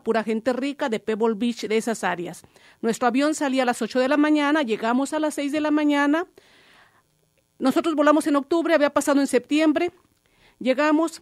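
A woman's voice: sits high, no echo, studio quality. A woman radio host in conversational storytelling, addressing listeners directly. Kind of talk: radio discussion with personal story.

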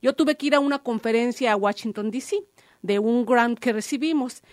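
High-voiced woman radio host telling a story. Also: moderate pace, darkish tone, no room sound, studio quality. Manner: conversational storytelling, addresses listeners. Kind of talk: radio discussion with personal story.

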